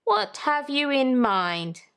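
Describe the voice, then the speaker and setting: A woman's voice: high-pitched, sing-song, slow, a bit bright, pitched above the performer's own voice, full character voice. A woman voicing a genial, old-fashioned husband who keeps a lighthouse, in a storybook. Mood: approving.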